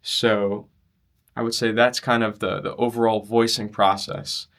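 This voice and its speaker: A young man in a conversational interview, explaining his loudspeaker design work earnestly and in detail. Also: on the low side, little pitch movement, unhurried. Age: young adult. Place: demo room at an audio show.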